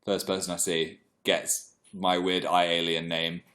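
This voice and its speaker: A man's voice: deep voice, flat delivery, unhurried, fairly bright tone. A young man, congested, chatting casually on a livestream and reading viewer comments aloud. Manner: chatty, self-deprecating, reads comments aloud. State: congested, clearing throat. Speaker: livestream host.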